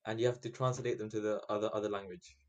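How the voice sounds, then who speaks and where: A man's voice: on the low side, little pitch movement, quick pace, a little hiss or hum. A young man interviewing a guest and running a playful quiz game, speaking in brief prompts.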